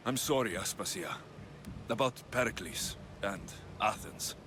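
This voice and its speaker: An actor playing a fierce, loyal Greek mercenary hero who is grieving and set on vengeance, in a cinematic video game. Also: male voice, lowish voice, animated, a little slow, noisy background. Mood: remorseful, grim.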